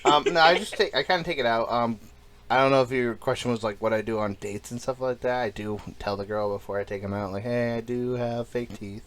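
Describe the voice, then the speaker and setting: A man's voice: mid-range voice, steady tone, fairly quick, a bit bright. A young man co-hosting a casual podcast chat, rambling with lots of fillers and crude jokes. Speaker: podcast co-host.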